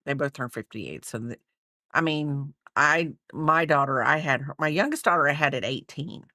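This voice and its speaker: A woman's voice: deep voice, expressive, normal speed, sharp tone, no room sound, clean studio sound. A woman in her fifties chatting casually on a crochet livestream, rambling and reading out viewer comments. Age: 50s.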